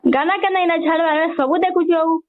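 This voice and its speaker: Young woman, really high voice, arguing and accusing on a tinny phone recording. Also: moderate pace. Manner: accusatory, confrontational. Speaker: daughter in a family phone argument. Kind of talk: heated phone argument in colloquial speech. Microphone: phone call. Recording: dull, lo-fi phone call recording.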